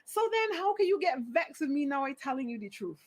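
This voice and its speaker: A woman's voice: high-pitched, big pitch swings, a little fast, voice pushed up. A woman voicing an exasperated Caribbean boyfriend with a Barbadian accent, defensive and blunt. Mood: indignant.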